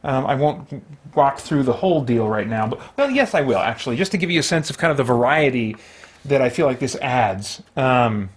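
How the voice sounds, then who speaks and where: A man's voice: medium pitch, animated, normal speed, a little hiss or hum. A man hosting a solo tabletop game, talking casually and thinking aloud in a rambling way as he plays. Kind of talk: casual game play-through commentary.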